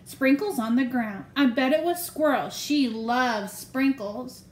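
A woman's voice: sits high, slow pace. A woman voicing a friendly cat sleuth from a children's storybook, earnest and emphatic, in a roomy recording. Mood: suspicious.

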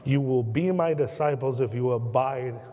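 A man in his thirties with an American accent, preaching a sermon from the pulpit in earnest, slowing and dropping his voice on key words. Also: dark tone. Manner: earnest preaching, slows and drops low on key words, gestures a lot. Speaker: pastor. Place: church sanctuary at a pulpit. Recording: long church reverb.

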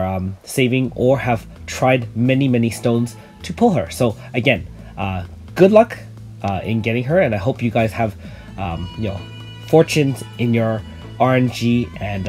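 A man of ordinary pitch talks through a game video with loose, easygoing commentary. His voice is slightly raspy from being ill, and he fills his pauses with ums and uhs. Faint music plays underneath. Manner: relaxed, many fillers. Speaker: gaming YouTuber.

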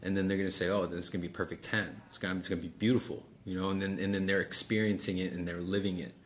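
Low-voiced man coaching, casual. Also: flat delivery, very fast. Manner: casual, explanatory, checks with "right?". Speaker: sales coach. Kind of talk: one-on-one coaching with role-played sales dialogue. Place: small office meeting room, indoors. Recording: clearly noisy room sound.

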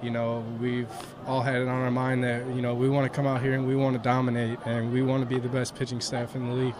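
A young man, a college baseball pitcher, answering post-game questions modestly outdoors at a ballpark, with lots of "you know" fillers. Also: monotone, quick pace. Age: young adult. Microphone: handheld mic held by interviewer. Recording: outdoor noise around the mic.

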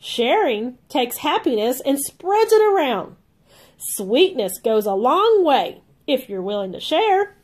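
High, sing-song cheerful cartoon bear read by a woman. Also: very animated, takes it slowly, full character voice. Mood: cheerful, encouraging.

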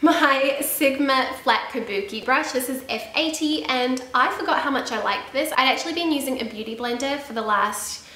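A woman's voice: high voice, pushed and bright, reverberant. A woman in her thirties with a stuffy head cold, chatting enthusiastically to camera about beauty products from a sofa at home. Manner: chatty and enthusiastic, drawn-out emphasis. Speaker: beauty vlogger. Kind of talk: casual product review to camera. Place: small room indoors, seated on a sofa.

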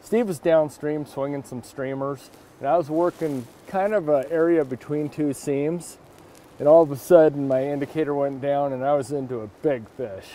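Middle-aged angler host, mellow excitable voice, recorded outdoors. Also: male voice, fairly high pitch, normal speed, a little hiss or hum. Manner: excitable, exclaims often. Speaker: fly-fishing video host. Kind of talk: casual outdoor commentary. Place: outdoors on a riverbank.

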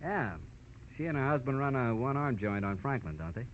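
A man's voice: mid-range voice, expressive, brisk, lightly acted. A man playing a slippery, impatient American newspaperman in an old radio crime drama, glib, curt and slangy. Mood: offhand. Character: cocky, slippery, impatient male newspaper reporter. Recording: dull, faintly noisy old radio recording.

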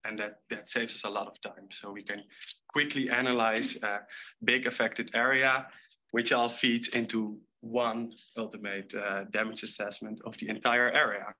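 A young man gives an explanatory conference presentation as a data scientist, with halting pauses. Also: lowish voice, flat delivery, pushed and bright, low-quality recording. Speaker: data scientist, keynote speaker. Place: indoor stage with screens.

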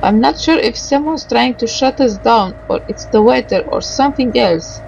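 A woman doing let's play commentary, reading game dialogue aloud haltingly and adding muttered asides. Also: fairly high pitch, creaky, darkish tone, dull audio, a little hiss or hum.

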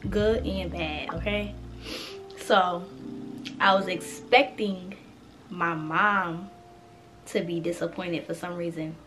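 A woman, chatting casually to camera as a vlogger, animated and talking straight to viewers, a little stuffy from a cold. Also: fairly low pitch, takes it slowly, long pauses, over music. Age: 20s. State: congested with a cold.

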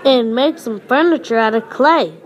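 A woman reading a children's picture book aloud, deliberately and phrase by phrase. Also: fairly high pitch, dull audio. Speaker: storybook reader.

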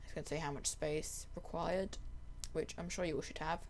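A young adult presenter giving a casual software tutorial in a voice-over. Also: mid-range voice, fairly even intonation, normal speed, slight background noise.

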